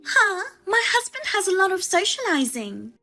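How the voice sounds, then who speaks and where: A woman voicing a demanding, scornful sister-in-law who accuses and mocks loudly. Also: extremely high pitch, sing-song, normal speed, faint music behind, pitched above the performer's own voice, exaggerated voice. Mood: indignant. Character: shrill, demanding female sister-in-law, a mother.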